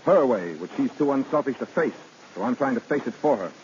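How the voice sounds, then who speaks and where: An actor playing an earnest, determined rival in love in a vintage radio drama, speaking bluntly and insistently. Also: male voice, medium pitch, expressive, a little fast, a little mellow, lightly acted. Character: earnest, determined man confronting his lover's husband. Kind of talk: 1930s radio drama acting. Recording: muffled, noisy old radio broadcast recording.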